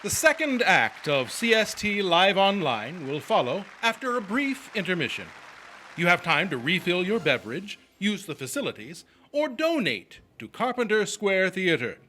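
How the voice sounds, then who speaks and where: A man's voice: sits high, big pitch swings, normal speed, pushed and bright, some chatter behind, a little hiss or hum. A man announcing a live theater show in a scripted, theatrical style.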